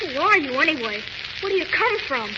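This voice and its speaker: An actor plays a young boy in a 1940s radio serial, eager, anxious and wide-eyed. The voice is extremely high, over a muffled old recording with loud noise. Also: brisk. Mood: eager, amazed. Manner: eager, excitable. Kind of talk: 1940s radio drama acting.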